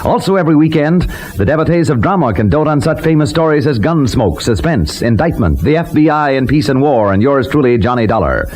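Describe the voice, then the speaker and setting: A man's voice: mid-range voice, animated, brisk, a little mellow. A man announcing a 1950s radio network promo in a polished, jaunty way. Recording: vintage radio with faint hiss.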